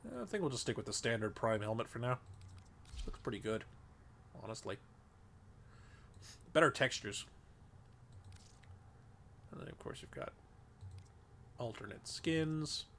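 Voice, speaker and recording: A man's voice: medium pitch, animated, measured pace, creaky, pauses often, fairly bright tone, a little hiss or hum. A congested man casually thinking aloud while streaming a game, rambling with lots of fillers. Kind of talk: casual gameplay commentary. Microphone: desk microphone.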